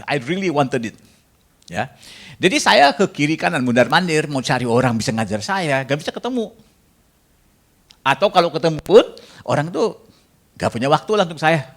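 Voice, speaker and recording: A man's voice: on the high side, sing-song, fairly quick, fairly bright tone. A man preaching a sermon in a jokey way, bantering with the congregation and mixing in English phrases. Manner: jokey, banters with the congregation and asks them to raise hands.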